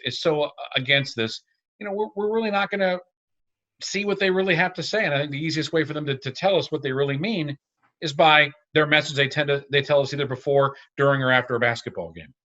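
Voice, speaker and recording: A man's voice: on the high side, quick pace, sharp tone, muffled recording. A man speaking as a guest announcer in a casual sports discussion, talkative and emphatic. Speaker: radio and arena announcer guest.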